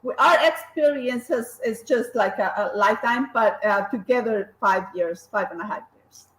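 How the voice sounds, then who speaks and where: Guest, woman in her fifties, Colombian accent, high-ish voice, animated, restarts a lot. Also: moderate pace, echoey room, poor audio. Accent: Colombian Spanish. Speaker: guest, graphic designer and co-founder. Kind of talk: casual interview chat.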